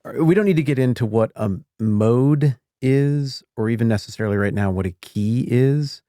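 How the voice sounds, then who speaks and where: A man's voice: mid-range voice, moderate pace, dark tone, dry recording. A man hosting a podcast, asking thoughtful, searching questions into a close mic. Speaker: podcast host. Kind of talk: casual podcast chat.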